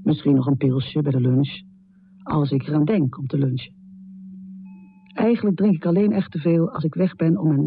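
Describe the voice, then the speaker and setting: An actress playing a troubled, confessional middle-aged woman poet in a radio drama, speaking intimately with a low, husky voice. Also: narrow, tinny audio. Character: troubled, confessional American woman poet, heavy drinker, death-obsessed. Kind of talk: radio drama monologue and poetry recital.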